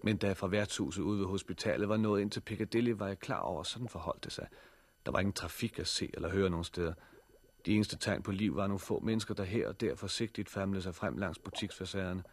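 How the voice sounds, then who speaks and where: An actor in a 1960s radio drama playing a sober male survivor who tells his story in a measured, serious way. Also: low-pitched. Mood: sober narration. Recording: slightly noisy old radio recording.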